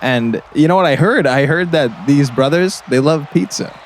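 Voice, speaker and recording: A man's voice: fairly high pitch, animated, moderate pace, lightly acted. A man playing a folksy, easygoing small-town radio colour commentator, chatty and hesitant in a comedy broadcast. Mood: folksy, pleased. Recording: faint gym noise behind. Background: faint gym noise.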